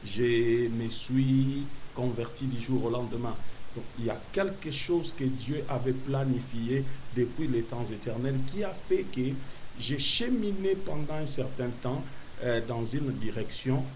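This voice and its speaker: A man's voice: mid-range voice, a little mellow, poor audio. Man from Kinshasa, hesitant, hissy tape. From Kinshasa, Congo. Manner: hesitant, many pauses and fillers. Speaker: apostle, guest preacher. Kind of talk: testimony interview. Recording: hissy broadcast recording.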